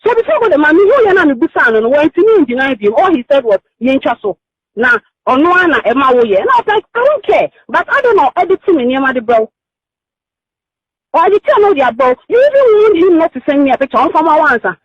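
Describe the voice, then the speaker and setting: High-pitched, insistent Ghanaian woman on phone. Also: very animated, fast talker, dry recording. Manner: insistent, repetitive, rambling. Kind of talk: heated private phone conversation. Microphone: telephone. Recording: muffled, lo-fi recorded phone call.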